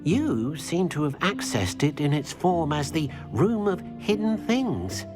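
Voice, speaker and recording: An actor voicing a small, humble, eager house-elf servant in a fantasy video game, deferential in manner. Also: male voice, fairly high pitch, big pitch swings, a little slow, over music. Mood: helpful, explaining. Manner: deferential, speaks of himself in third person.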